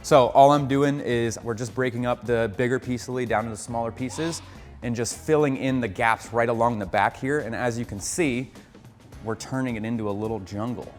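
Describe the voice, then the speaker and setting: A man's voice: medium pitch, steady tone, moderate pace, big-room sound, faint music behind. An American man hosting a casual hobby vlog, upbeat and coaching, explaining each step plainly. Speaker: aquarium hobbyist host.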